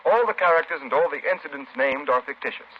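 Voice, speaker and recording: A man's voice: on the high side, expressive, quick pace. An American man announcing in scripted 1930s radio style, formal, polished and emphatic. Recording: muffled, noisy 1930s radio broadcast.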